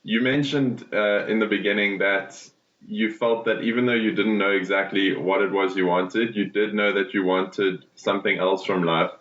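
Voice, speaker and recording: A man hosting a podcast, asking interview questions in a measured way with small hesitations. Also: on the low side, fairly even intonation, fairly bright tone. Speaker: podcast host. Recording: roomy, dull lo-fi sound.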